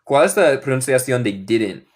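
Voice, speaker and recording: Casting note: young American man, Midwestern accent, native English speaker teaching in Spanish, unhurried and methodical, repeats drills. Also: medium pitch, lively intonation. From northern United States. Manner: slow, repeats example sentences several times. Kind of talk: live online English lesson taught in Spanish.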